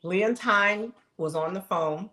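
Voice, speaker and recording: A middle-aged woman streaming live, telling viewers her own story in a casual, animated way and talking straight to them. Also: medium pitch, takes it slowly, roomy sound. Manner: animated, talks straight to the audience, exclaims, laughs. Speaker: live streamer sharing her health journey.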